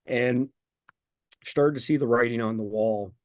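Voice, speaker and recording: A man's voice: a little slow, no room sound. Confident man explaining, dry sound. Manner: confident, explanatory, making a pitch. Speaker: guest, survival news founder. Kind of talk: interview answers.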